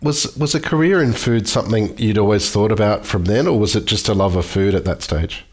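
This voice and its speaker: A man hosting a food podcast, asking measured interview questions. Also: fairly low pitch. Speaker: podcast host.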